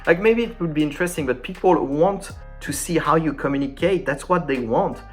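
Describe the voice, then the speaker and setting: A man speaking English as a second language, giving a casual, informal French lesson in an animated way. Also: fairly high pitch, a little fast, faint music behind. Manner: animated and informal, acting out sample dialogues.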